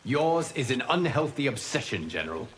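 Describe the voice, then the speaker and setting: An actor playing a calm, solemn, merciful guardian god in a video game, speaking in a measured, formal, earnest way. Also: male voice, mid-range voice, noisy background, close to an everyday voice.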